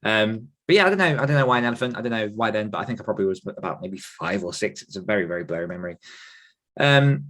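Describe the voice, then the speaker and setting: Man, thirties, slightly low voice, casual podcast talker with a rambling, self-deprecating delivery. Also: animated, fast talker, fairly bright tone. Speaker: podcast host and author telling his own story. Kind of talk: casual reflective podcast conversation.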